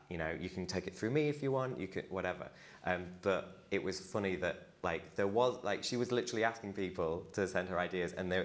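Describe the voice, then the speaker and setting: A man in his thirties speaking as a panelist in a hesitant, filler-heavy way, recorded on a lapel mic in a university event hall. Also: sits low. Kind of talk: panel discussion.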